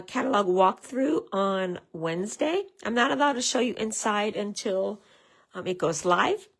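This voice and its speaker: Woman, raspy from a cold, gravelly edge, casual tutorial, chatty with fillers. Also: on the high side. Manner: chatty, greets viewers, many fillers. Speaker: craft demonstrator. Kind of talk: casual live craft tutorial.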